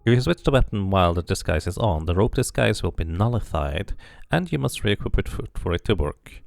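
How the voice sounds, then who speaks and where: A man's voice: low voice, lively intonation, fairly quick, vocal fry, no echo, studio quality. A man giving casual game commentary, thinking aloud and reading bits of game text out as he plays. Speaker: game streamer doing a playthrough.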